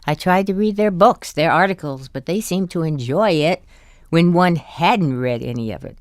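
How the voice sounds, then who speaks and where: A woman's voice: sits low, no room sound, clean studio sound. An actress playing a wry, indulgent older wife who tells her story confidingly in an audio drama. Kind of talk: audio drama acting with first-person narration.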